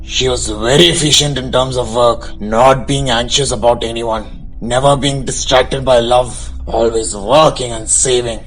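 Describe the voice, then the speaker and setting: A man playing the storyteller of a radio drama, narrating in a measured, literary way. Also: mid-range voice, steady tone, fairly bright tone, natural voice, poor audio. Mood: measured storytelling. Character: storyteller recounting a tragic marriage. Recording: background music under narration.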